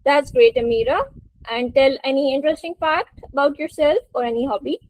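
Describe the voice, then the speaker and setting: A woman's voice: high voice, steady tone, brisk, a little mellow, poor audio. A woman teaching a spoken English lesson to a group on an online call, encouraging and prompting participants. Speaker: English teacher hosting a webinar.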